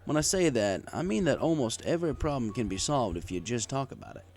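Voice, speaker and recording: A man's voice: medium pitch, sing-song, fairly quick, a little mellow. A man reading a story aloud in the first person as a bartender narrator. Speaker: audiobook reader. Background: music.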